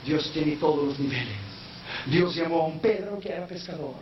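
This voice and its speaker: A man preaching a sermon in a language that is not his first, slipping in English phrases and rising into shouted, sung-out peaks. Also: on the high side, expressive, normal speed. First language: not Spanish. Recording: echoey, distant, dull sound with faint noise.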